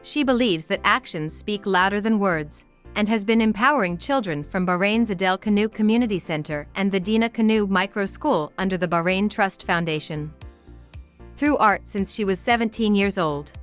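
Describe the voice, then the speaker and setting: A woman's voice: mid-range voice, moderate pace. Woman narrator, polished profile read, faint music. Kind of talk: scripted narration.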